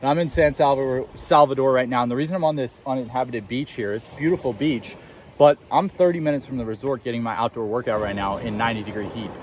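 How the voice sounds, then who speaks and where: Fast-talking eighteen-year-old motivational vlogger. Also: male voice, mid-range voice, a little hiss or hum. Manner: fast, emphatic self-help preaching. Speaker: young entrepreneur vlogger. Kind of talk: motivational vlog talk to camera. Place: outdoors on a beach.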